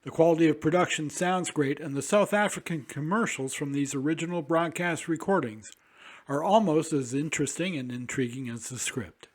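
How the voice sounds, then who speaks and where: A man's voice: on the high side, moderate pace. A man hosting a radio show, reading a scripted introduction in a grand announcer's manner.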